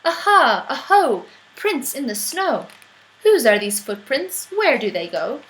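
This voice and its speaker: Woman acting young monster girl, storybook creature, brave, curious, exclamatory, high swooping pitch. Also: big pitch swings, slow, a bit bright, heavily acted, poor audio. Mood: excited, curious. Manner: exclamatory, wondering. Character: brave, curious young female monster child hunting a mouse.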